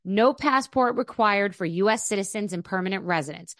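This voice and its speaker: A woman's voice: medium pitch, a little fast, no room sound, studio quality. A woman reading an advertisement in a friendly, personal way. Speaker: ad reader. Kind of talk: read advertisement.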